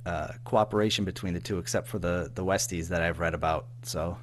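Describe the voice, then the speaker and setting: A man being interviewed in casual chat, speaking haltingly, repeating words and trailing off. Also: deep voice, steady tone. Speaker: audio drama writer-producer, interview guest. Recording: faint background noise and hum.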